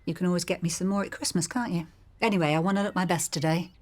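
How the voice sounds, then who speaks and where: A woman's voice: fairly low pitch, fairly even intonation, fast, lightly acted. An actress playing a flirtatious, confident, glamorous middle-aged pub landlady in a radio soap. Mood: playful defiance. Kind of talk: naturalistic radio drama acting.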